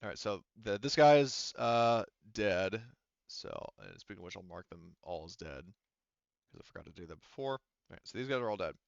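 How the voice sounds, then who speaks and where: A man's voice: on the low side, expressive, brisk, vocal fry, no room sound. A man speaking casually and profanely as game master of a tabletop role-playing game, in slightly muffled audio.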